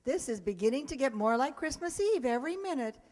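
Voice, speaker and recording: A woman's voice: high-pitched, lively intonation, a little fast, a little mellow. A woman acting in a radio sitcom as a wisecracking schoolteacher, with a dry, sardonic delivery. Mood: welcoming. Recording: echoey hall, long reverb.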